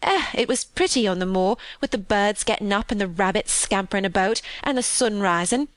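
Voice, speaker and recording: A woman's voice: medium pitch, expressive, fairly quick, no room sound, lightly acted. A woman voicing a cheerful young Yorkshire housemaid who chatters eagerly in folksy dialect for a storybook. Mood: delighted, cheerful.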